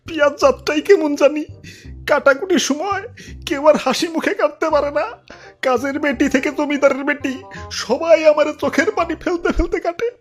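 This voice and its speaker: A woman's voice: high-pitched, natural voice. A woman voicing a self-pitying, tearful onion, a deposed king in a cartoon. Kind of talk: comic cartoon acting.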